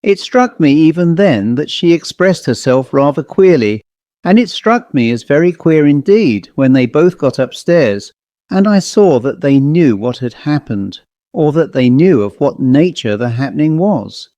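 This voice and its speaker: A man reads a ghost story as a scripted first-person narration. His voice is mellow and softly toned, captured close and dry. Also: on the high side, animated, a little slow, dry recording. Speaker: audiobook narrator.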